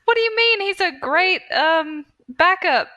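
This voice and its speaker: A woman's voice: extremely high pitch, expressive, unhurried, pushed and bright, lo-fi sound. A woman co-hosting a casual movie review chat with informal, teasing banter. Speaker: podcast co-host.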